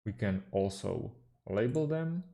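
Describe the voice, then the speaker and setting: A man whose first language is Slovak talks through a computer tutorial at a slow, unhurried pace, pausing on fillers. His voice is low and mellow, with a gravelly edge. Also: expressive. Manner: unhurried, hesitant with fillers, slightly non-native phrasing. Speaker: tutorial host. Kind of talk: screen-recorded software tutorial.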